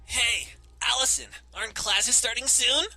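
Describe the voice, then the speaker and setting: A man voicing a brash, sporty young woman from a visual novel in a loud, exaggerated way. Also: a little slow, soft background music, heavily acted. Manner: loud, exaggerated, high-pitched.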